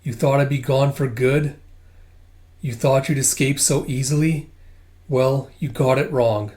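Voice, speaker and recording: A man reads a bitter young storybook heroine in his middle register. She is wounded and vengeful, speaking slowly and with deliberate intensity. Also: mid-range voice, steady tone, a little mellow, close to an everyday voice.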